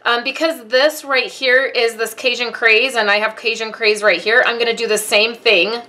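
A woman's voice: a little high, steady tone, moderate pace, bright, forceful tone. A woman giving a casual step-by-step card-making tutorial, chatting through each step as she works at her craft desk.

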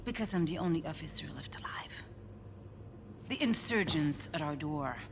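An actress playing a grim, embittered middle-aged officer in a video game, speaking in a stern, terse, dramatic way that often drops to an intense hush. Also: female voice, deep voice, animated, normal speed, noisy background. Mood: grim, desperate. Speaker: military commander. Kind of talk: cinematic video game acting.

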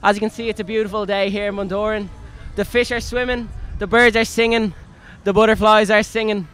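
A man's voice: high voice, level tone, brisk, fairly bright tone, clear, resonant tone. A young Irish man reporting from a music festival outdoors, doing enthusiastic vox-pop interviews on a handheld mic. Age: young adult. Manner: enthusiastic, presenter-like.

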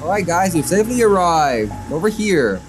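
A performer voicing an easygoing, helpful teenage boyfriend in an amateur game roleplay, laid-back and drawn-out. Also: medium pitch, sing-song, close to an everyday voice. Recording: background music under voice.

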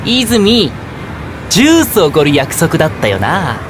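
An actor playing a blunt, competitive teenage schoolboy in an anime-style voice drama, with casual boyish speech. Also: male voice, a little high, big pitch swings, measured pace, background noise. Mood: cocky, excited. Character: brash, competitive teenage schoolboy, sometimes sullen.

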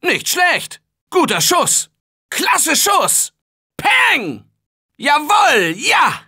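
An excitable zombie-game survivor, who calls out to his squad with a lively, swooping delivery. His tone is bright and forceful, and he keeps an unhurried pace even when shouting warnings. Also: extremely high pitch, full character voice. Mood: cheering. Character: upbeat male office-worker survivor in a zombie game. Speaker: game character. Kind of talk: German-dubbed video game voice lines.

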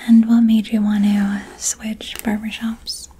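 Young woman whispering softly, flat and slow. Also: on the high side, a little hiss or hum. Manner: soft whispering, gently asking questions. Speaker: barber in a roleplay. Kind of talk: ASMR haircut roleplay. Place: small decorated indoor room. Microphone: close studio mic in front.

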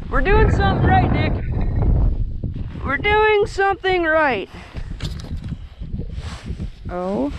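Excitable young man ice fishing outdoors. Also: very high voice, extremely slow, pauses often, dull audio. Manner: excitable, slangy, talks to the fish. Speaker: fishing vlogger. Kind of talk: excited running commentary while fishing. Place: outdoors on a frozen lake. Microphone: head-mounted action camera. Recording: outdoor wind and ice noise.

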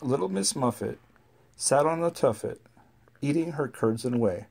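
A parent with a relaxed, sing-song way of reading nursery rhymes aloud and chatting with a small child at home. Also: mid-range voice, normal speed, creaky.

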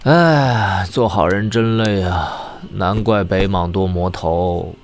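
A young wuxia swordsman in an audio drama. His voice is lowish and very slow, cold and weary, with a calm menace.

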